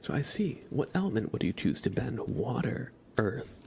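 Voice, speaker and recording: A man chatting casually and softly as an ASMR livestream host, often slipping into a whisper. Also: medium pitch, sing-song, normal speed, vocal fry, slight background noise. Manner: soft-spoken, often dropping into a whisper. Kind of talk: ASMR, casual rambling chat with live viewers.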